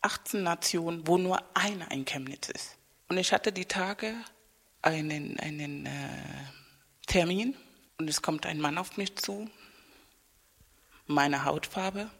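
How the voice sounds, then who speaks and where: A woman co-hosting a radio chat, telling anecdotes in a lively, casual way. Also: on the low side, slow pace, pauses often, long reverb tail. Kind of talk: casual conversation, storytelling.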